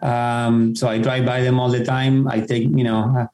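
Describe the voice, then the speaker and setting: A man's voice: mid-range voice, little pitch movement, moderate pace, a little mellow. A middle-aged man from Argentina, a Spanish speaker, explaining things casually as a podcast guest. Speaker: podcast guest, tennis professional. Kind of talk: casual explanatory interview talk. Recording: roomy, echoing sound.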